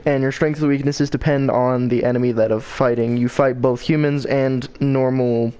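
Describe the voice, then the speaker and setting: A man's voice: mid-range voice, steady tone, moderate pace, dark tone, clean tone, low-quality recording. A man hosting a stream in casual chat, easygoing and summing up what his guest says. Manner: easygoing, sums up what he hears. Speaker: streamer host.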